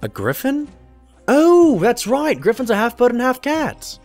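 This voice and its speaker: An actor playing an earnest, easily flustered pony doctor, an expressive sidekick in an audio drama. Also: male voice, extremely high pitch, unhurried, faint music behind, full character voice. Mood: earnest, curious. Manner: expressive, stammering when embarrassed.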